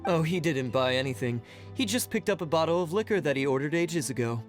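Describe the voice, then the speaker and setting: A man's voice: on the high side, animated, brisk, soft background music, close to an everyday voice. A man playing a shopkeeper in a video game, defensive at first, then eager to explain.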